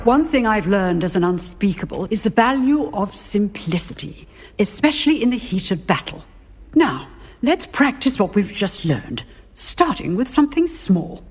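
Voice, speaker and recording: A woman playing a battle-hardened old magic professor in a video game, lecturing drily and briskly in a British accent. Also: medium pitch, big pitch swings, a little hiss or hum, close to an everyday voice. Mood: instructing. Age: older. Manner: dry, brisk, authoritative lecturing. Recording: long hall reverb, faint music.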